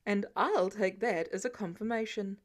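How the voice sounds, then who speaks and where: A woman's voice: medium pitch, moderate pace, close to an everyday voice. A woman voicing a smug, self-assured, teasing older man with a matter-of-fact, goading delivery in an audiobook. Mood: smug, dry. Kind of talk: audiobook character dialogue.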